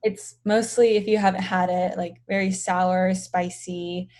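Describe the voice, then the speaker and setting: Mellow-voiced young woman explaining gently. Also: lowish voice, flat delivery, a little slow. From United States. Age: young adult. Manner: soft-spoken, explains with many "um" and "like". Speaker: pharmacy student guest. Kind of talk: casual interview talk.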